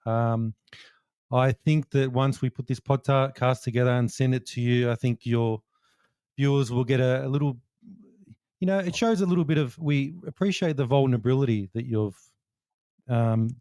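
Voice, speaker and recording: A man's voice: mid-range voice, moderate pace, mellow, no echo, studio quality. Rambling joking podcast host man. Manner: joking, rambling wrap-up with many fillers. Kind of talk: casual interview chat.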